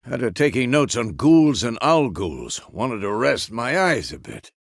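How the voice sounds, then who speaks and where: An actor playing a gruff, stern but fatherly old monster hunter in a video game, with a gravelly voice and a terse, weary, commanding manner. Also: medium pitch, sing-song, unhurried, no room sound, clean studio sound, exaggerated voice. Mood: dry, matter-of-fact. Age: older. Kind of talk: dramatic game character dialogue.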